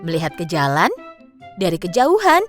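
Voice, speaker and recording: A woman narrating a children's cartoon story in an expressive, sing-song storytelling manner. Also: fairly low pitch, moderate pace. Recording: faint music behind. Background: faint background music.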